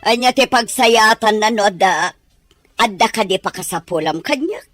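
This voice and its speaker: A woman playing a sharp-tongued, snobbish gossip who questions people scornfully. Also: medium pitch, animated, normal speed, dry recording, soft background music, natural voice.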